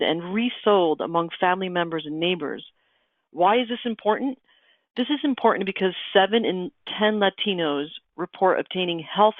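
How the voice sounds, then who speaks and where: A woman's voice: lowish voice, no echo. A woman from Argentina whose first language is Spanish gives a webinar presentation, explaining steadily and calling for the next slide. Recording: tinny phone-line audio, dry.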